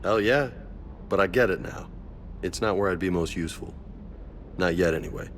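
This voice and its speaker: A man plays a young soldier in a science fiction game. His voice is low, with a brash, casual, slangy delivery. A faint engine hum sits behind him. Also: moderate pace, close to an everyday voice. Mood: relaxed. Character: cocky, easygoing young male space marine. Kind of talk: video game voice acting.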